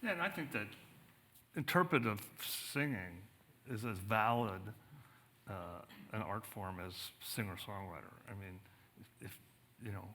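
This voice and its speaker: A man's voice: fairly low pitch, sharp tone. A man speaking as an author at a book talk, conversational and thoughtful, often searching for his words. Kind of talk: conversational panel discussion. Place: museum theater, on stage.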